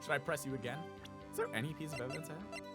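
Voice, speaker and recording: A man's voice: fairly high pitch, wildly swooping pitch, very fast, over music. A man giving casual gameplay commentary as he plays through a game. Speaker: voice actor playing a game.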